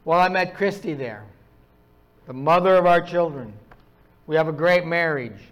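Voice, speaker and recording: Middle-aged pastor, northern US accent, high tenor, wry self-mocking storyteller. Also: male voice, expressive, moderate pace, fairly bright tone. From Upper Midwest of the US. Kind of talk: sermon.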